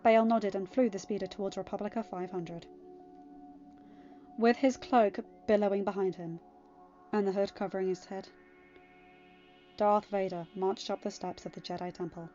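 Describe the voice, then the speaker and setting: A woman narrates scripted fiction in a mid-pitched voice. The recording is a little muffled, with faint music playing behind her. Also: warm tone. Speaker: audiobook reader of fan fiction. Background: background music.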